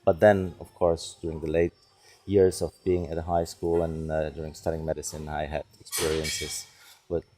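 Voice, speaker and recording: Low-voiced Czech man, mellow and halting. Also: level tone, slight background noise. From Czech Republic. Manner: halting, searching for words in non-native English. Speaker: psychiatrist and neuroscience researcher, interview guest. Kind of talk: conversational interview answers.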